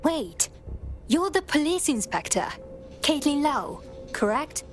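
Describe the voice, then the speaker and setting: A woman's voice: high voice, sing-song, takes it slowly, faint music behind, full character voice. A woman playing a direct, resolute underground hacker operative in a video game. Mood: concerned. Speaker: resistance operative character.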